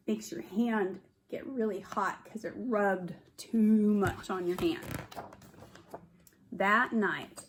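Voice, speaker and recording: A woman's voice: mid-range voice, a little hiss or hum. A woman kindergarten teacher reading a storybook aloud, explaining things simply for young children.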